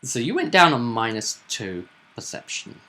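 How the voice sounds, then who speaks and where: Bright-voiced man talking tabletop games. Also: mid-range voice, very animated, normal speed. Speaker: game master. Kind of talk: casual discussion of a tabletop roleplaying game.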